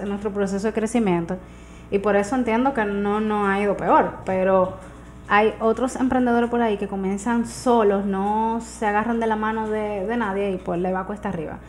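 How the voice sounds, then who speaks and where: Dominican woman, 30s, radio interview guest, conversational explainer, medium pitch, noisy backdrop. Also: fairly even intonation, brisk, darkish tone. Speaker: guest entrepreneur. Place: small radio studio, indoors. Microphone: studio microphone on arm. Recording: clear background noise.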